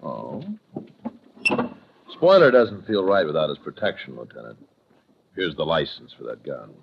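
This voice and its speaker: An actor playing a suave, coolly menacing gambling club boss in a 1950s radio drama, polished and condescending. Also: male voice, a bass voice, sing-song, measured pace, creaky, exaggerated voice. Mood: cool, smooth. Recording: dull, noisy 1950 radio recording.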